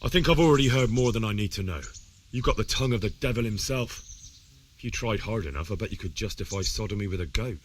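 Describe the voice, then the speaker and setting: An actor playing an earnest, honest young village lad in a medieval video game, speaking plainly and with principle. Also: male voice, on the low side, quick pace, a little hiss or hum, close to an everyday voice. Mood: disgusted. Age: young adult. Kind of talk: video game dialogue acting.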